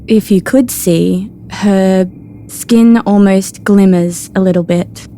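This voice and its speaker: A woman's voice: medium pitch, steady tone, slow, warm tone, a little hiss or hum. A woman playing a tabletop game, describing her character in a storytelling style.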